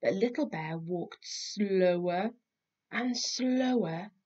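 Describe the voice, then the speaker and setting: A woman narrates a children's story with expressive, deliberate phrasing. Her pace is very slow and her voice is somewhat husky. The recording is dull and slightly lo-fi. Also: mid-range voice. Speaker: storyteller reading a children's book aloud.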